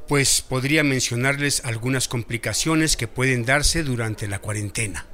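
A Mexican doctor acted by a man in an educational radio drama. His voice is mid-pitched with a bright, crisp edge. He explains in a respectful, didactic way, like a friendly teacher. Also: moderate pace, natural voice. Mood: instructive. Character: friendly instructive male doctor teaching village women.

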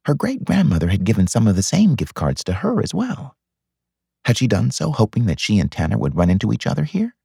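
A man reading fiction as an audiobook narrator, in an expressive scripted style. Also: fairly low pitch, a little fast, creaky, husky, no room sound, studio quality.

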